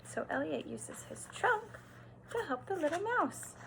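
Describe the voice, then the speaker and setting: A woman teacher doing an expressive read-aloud for young children, stressing words and pausing to explain and ask simple questions. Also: very high-pitched, creaky, a little hiss or hum, rough recording. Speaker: kindergarten teacher.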